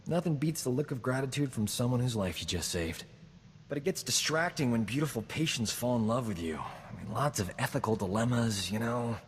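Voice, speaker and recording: An actor playing a boastful, smooth-talking combat medic in a video game, joking and flattering himself. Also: male voice, medium pitch, brisk, big-room sound, slight background noise, close to an everyday voice. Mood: cocky. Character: cocky boastful man, a frontier combat medic. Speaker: companion character in a video game. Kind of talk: video game character dialogue.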